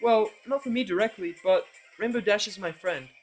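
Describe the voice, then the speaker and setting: A man voicing a shy, soft-spoken female cartoon pony with a tentative, gentle manner in a fan audio drama. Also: high voice, normal speed, faint music behind, voice pushed up, natural voice.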